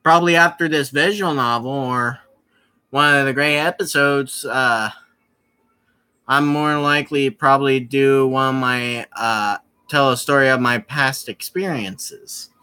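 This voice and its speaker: A man's voice: mid-range voice, measured pace, pushed and bright, clear, resonant tone. A man doing casual live-stream commentary while reading a visual novel, rambling and stumbling over his words as he repeats phrases.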